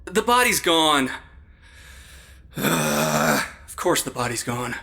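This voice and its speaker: An actor playing an irreverent, jittery amateur sleuth in a comedy audio drama, casual and sarcastic. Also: male voice, mid-range voice, wildly swooping pitch, drawn-out words, pushed and bright, heavily acted. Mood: alarmed.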